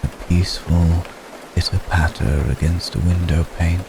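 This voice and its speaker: A man playing an ancient, brooding, remorseful vampire gentleman in an intimate ASMR roleplay, speaking formally and solemnly with long, measured pauses. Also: low-pitched. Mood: somber, wistful. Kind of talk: ASMR roleplay, intimate slow dramatic monologue. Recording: steady rain noise behind the voice. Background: rain.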